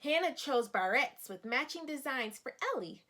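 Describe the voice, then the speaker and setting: A woman's voice: high voice, expressive, normal speed, fairly bright tone, slight background noise. A woman reading a picture book aloud like a teacher, guiding young children and putting questions to them. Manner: instructive, addressing young children.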